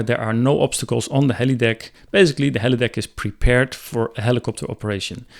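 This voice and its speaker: A man in his thirties explains things like an instructor, with a creaky, gravelly edge to a mid-pitched voice. He speaks as a pilot walking listeners through procedure, close on a desk microphone indoors. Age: 30s. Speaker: helicopter pilot explaining radio calls. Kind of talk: instructional commentary. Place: small room indoors.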